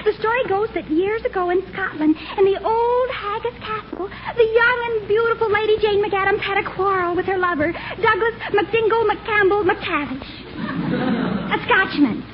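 Chatty teen neighbor girl, piping high voice, breathless drama, vintage radio comedy, heavy noise. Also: normal speed. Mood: eager, chatty. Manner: breathless, melodramatic storytelling. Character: excitable, chatty teenage neighbor girl telling spooky tales. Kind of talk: 1940s radio comedy acting. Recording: noisy, muffled old radio recording.

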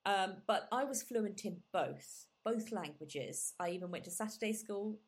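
A woman's voice: mid-range voice, animated, a little slow. Reflective woman podcast host. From England. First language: Gujarati. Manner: warm, reflective, many fillers and restarts. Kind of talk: casual conversational interview.

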